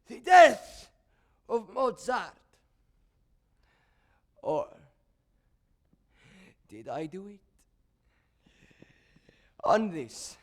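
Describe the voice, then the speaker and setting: A man's voice: very high-pitched, gravelly, fairly bright tone, full character voice. A male actor playing a proud, envious court composer in a formal, theatrical stage monologue. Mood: dramatic, proud. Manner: formal, grandiose, deliberate with dramatic pauses.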